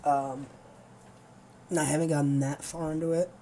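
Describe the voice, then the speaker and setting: A gaming streamer with a hoarse, nearly lost voice, chatting casually and rambling to viewers before playing. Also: medium pitch, level tone, moderate pace, warm tone, slight background noise. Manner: rambling, easygoing, many fillers.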